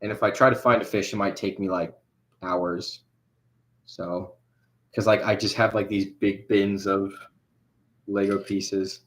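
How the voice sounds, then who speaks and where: A man's voice: on the low side, flat delivery, measured pace, pauses often, a bit bright. A young man chatting casually on a livestream while he animates. He rambles, with lots of fillers and restarts.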